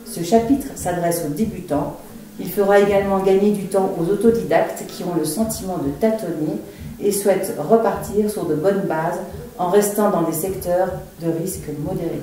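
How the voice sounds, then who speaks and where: A woman moderating a panel evening, reading a book passage aloud in a measured way. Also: fairly low pitch, fairly even intonation, dark tone. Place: small room in a bookshop. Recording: very echoey, far from the mic.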